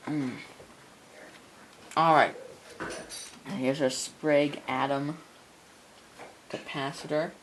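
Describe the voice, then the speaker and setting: Gravelly man muttering through repairs, noisy recording. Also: fairly high pitch, a bit bright. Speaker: radio repair hobbyist. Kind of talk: casual repair commentary. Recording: clearly noisy workshop recording with clicks.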